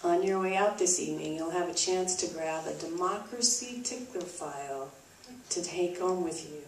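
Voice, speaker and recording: A woman's voice: lowish voice, normal speed. A woman presenting a scripted live show in a theatrical, conversational storytelling manner. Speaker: puppet show performer and presenter. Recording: roomy, distant mic, faint noise.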